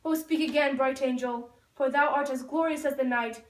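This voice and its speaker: A woman's voice: high voice, steady tone, normal speed, natural voice. A woman voicing a lovestruck young Romeo puppet, reciting ardent Shakespearean verse from a script in an amateur school show. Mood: adoring. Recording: echoey room, far from the mic.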